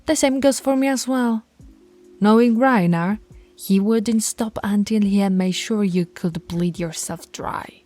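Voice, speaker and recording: Woman as a mellow, commanding female alpha werewolf. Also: medium pitch, a little slow, faint music behind, lightly acted. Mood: stern, scolding. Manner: authoritative, scolding, taunting. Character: commanding female alpha werewolf, stern, teasing pack leader. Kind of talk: ASMR audio roleplay.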